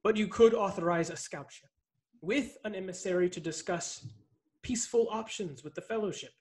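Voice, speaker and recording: High-pitched, formal young starship ensign played by a man. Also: a little mellow, husky. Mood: earnest. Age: young adult. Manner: formal, measured phrasing. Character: resolute, self-sacrificing male starship ensign. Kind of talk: radio play acting. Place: indoors at home, video call. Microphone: desk condenser microphone, close.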